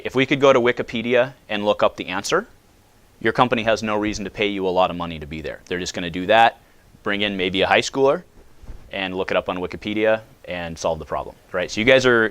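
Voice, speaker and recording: Guy in his 30s giving a talk to a high school class, loud and chatty, lowish voice. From United States. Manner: loud, casual, asks the audience questions. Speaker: guest speaker.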